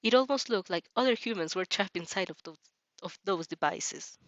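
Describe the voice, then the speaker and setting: A woman's voice: medium pitch, lively intonation, moderate pace, fairly bright tone, no echo. A woman narrating a story in an informal way, stumbling now and then and slipping into casual joking asides. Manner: informal, stumbles over words and jokes about it. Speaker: host reading a fan fiction aloud with reactions.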